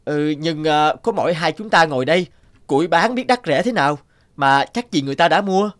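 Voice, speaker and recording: An honest young woodcutter from a cartoon folk tale. His voice sits fairly high for a male. He talks respectfully and earnestly, like a humble worker. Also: animated, fairly quick, fairly bright tone. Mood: hesitant.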